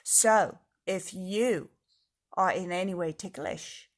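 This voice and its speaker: A woman reading a children's storybook aloud in an expressive way, speaking directly to young listeners. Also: lowish voice, slow pace. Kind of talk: storybook read-aloud with casual asides.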